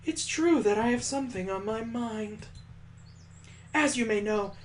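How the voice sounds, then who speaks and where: A man voicing a composed, enigmatic older schoolgirl from a visual novel, speaking in a measured, formal way. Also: high voice, a little hiss or hum, pitched above the performer's own voice, low-quality recording.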